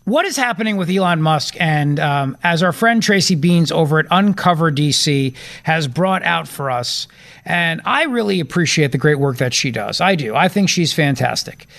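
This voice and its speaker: A man's voice: a little high, animated, normal speed, vocal fry, fairly bright tone. A man hosting a talk radio show, giving opinionated commentary and repeating points for emphasis.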